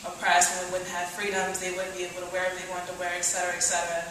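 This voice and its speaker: A woman's voice: lowish voice, completely flat delivery, very fast. A young American woman from the audience asking a question, hesitant and full of "like" and "um" fillers. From United States. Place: large echoey hall. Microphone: distant audience mic. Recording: echoey hall, distant with background noise.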